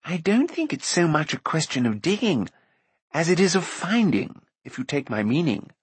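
A man's voice: fairly high pitch, very animated, moderate pace, breathy edge, dry recording, pitched above the performer's own voice, full character voice. A man voicing a talkative, undaunted bard in an audiobook, with a wry, theatrical manner. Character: jaunty, wordy, undaunted male bard.

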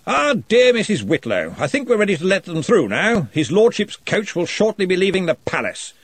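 A man doing a booming jovial wizard chief. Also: wildly swooping pitch, moderate pace, husky, dry recording, exaggerated voice. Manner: hearty, loud, slightly threatening joviality. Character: jovial booming old male wizard chancellor.

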